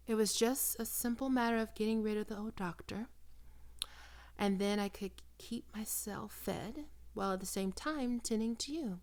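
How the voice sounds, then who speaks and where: A woman voicing a possessive, predatory vampire doctor in an ASMR roleplay, breathy and often whispering, coaxing her prey with condescending, sensual words. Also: medium pitch, lightly acted. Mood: smug. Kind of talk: ASMR roleplay, intimate and slow.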